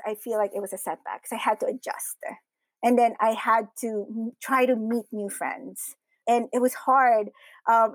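Woman from the Philippines, high voice, close dry sound, personal interview storytelling. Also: no room sound. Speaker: interview guest, women's community founder.

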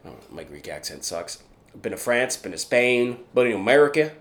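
A young adult man from Canada, a street performer and escape artist, talking about his act in a relaxed interview and driving his points home with emphatic repeats. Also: mid-range voice, normal speed.

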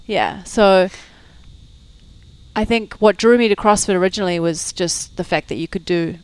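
A woman talks about her sporting past as a guest, in a relaxed, halting way full of fillers and lists that trail off. Her voice is smooth and resonant, at a middle pitch. The sound is dry and close. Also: no echo, low-quality recording. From New Zealand. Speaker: guest, fitness coach. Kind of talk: casual interview chat. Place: home.

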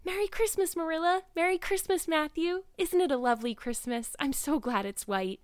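Woman as an excitable high-voiced dreamy young girl. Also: moderate pace, no echo. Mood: delighted. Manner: gushing, exclamatory, wordy.

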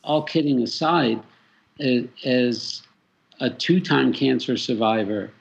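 A man from the Boston area speaks as a talk-show guest, mixing dry jokes with earnest conviction. His voice is husky and slightly rough. It sits at an average pitch for a man. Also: slow, highs cut off. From metropolitan Boston. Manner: wry, joking, earnest about his purpose. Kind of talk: casual interview talk.

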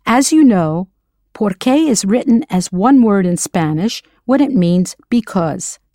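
An English-speaking woman leads a scripted language lesson in a clear, encouraging voice at an ordinary middle pitch. She slows down to model words carefully. The sound is dry and close, with no room around it. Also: lively intonation, dark tone, no echo. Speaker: language teacher. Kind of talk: scripted audio lesson narration.

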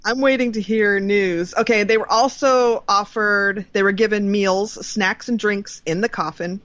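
A woman's voice: mid-range voice, steady tone, a little slow, fairly bright tone, no echo, highs cut off. A woman co-hosting a podcast, casually chatting and reading out entertainment news. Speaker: podcast co-host.

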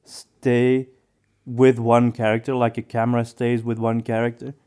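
A man's voice: medium pitch, monotone, a little slow, darkish tone, smooth. A man co-hosting a podcast, chatting casually in a thoughtful way with hesitant phrasing. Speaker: podcast co-host. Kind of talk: casual discussion.

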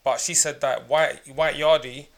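A man's voice: a little high, steady tone, a little slow, gravelly. A young man co-hosting a casual chat, eager and keen to jump in with his point. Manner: eager, keeps jumping in with "but". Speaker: podcast co-host.

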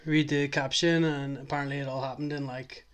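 A man's voice: mid-range voice, level tone, brisk. A man hosting a podcast in casual chat, rambling and enthusiastic, with plenty of fillers. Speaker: podcast host.

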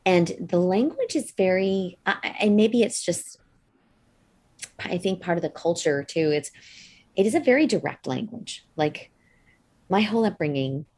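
A native Punjabi-speaking woman in casual, reflective conversation, often halting as she searches for the right words. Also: lowish voice, lively intonation, a little mellow.

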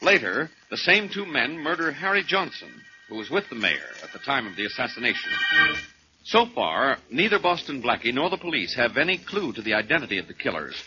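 Animated 1940s radio announcer man. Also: mid-range voice, fairly quick. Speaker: announcer and narrator. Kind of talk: 1940s radio scripted narration. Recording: muffled vintage radio recording with music.

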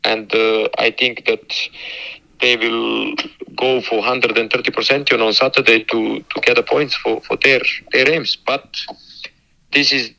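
Coach, male, bright tone, guarded and halting answers. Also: mid-range voice, little pitch movement, gravelly, poor audio. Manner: halting, repeats words, careful and guarded. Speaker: football coach. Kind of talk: phone interview.

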